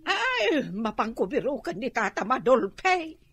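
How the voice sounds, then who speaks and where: An aggrieved, gossipy village auntie in an Ilocano radio drama. She complains in a slow, raspy, high voice with an Ilocano accent. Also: very animated, fairly bright tone, dry recording, exaggerated voice.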